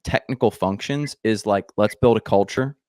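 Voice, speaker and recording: Low-voiced man hosting a podcast. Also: moderate pace, no room sound. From United States. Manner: joking, relaxed, heavy use of like. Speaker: podcast host. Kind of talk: casual podcast interview. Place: indoor home studio. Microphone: headphones and large studio mic.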